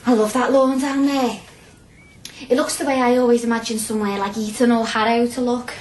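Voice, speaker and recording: A woman's voice: fairly high pitch, level tone, brisk, a little mellow, a little hiss or hum, natural voice. An actress playing a feisty, blunt young working-class woman with a Liverpool accent in a radio drama, chatty and outspoken. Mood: chatty, reflective. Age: young adult.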